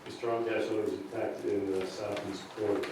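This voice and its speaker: A man with a lowish, flat voice reads a report haltingly at a public meeting. He is picked up from far off in a very echoey room with clear background noise. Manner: halting, monotone reading. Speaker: meeting participant presenting inspection reports. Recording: echoey meeting room, distant mic, noisy.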